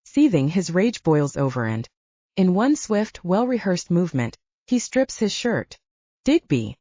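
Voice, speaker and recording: Female fiction narrator, slightly low and mellow, dull recording. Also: sing-song, measured pace, dry recording. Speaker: audiobook narrator.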